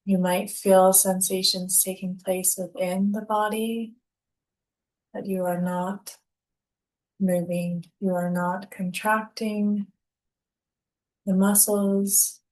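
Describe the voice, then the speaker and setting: Slow-paced woman guiding a relaxation. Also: medium pitch, poor audio. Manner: calm, unhurried, instructional, with deliberate pauses between phrases. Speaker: yoga nidra instructor. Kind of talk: guided relaxation meditation.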